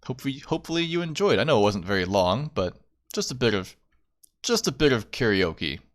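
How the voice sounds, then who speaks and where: A man's voice: mid-range voice, very animated, a little fast. A young man hosting a livestream, chatting casually in a self-deprecating way and often repeating his phrases. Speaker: VTuber livestream host. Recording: background music. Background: music.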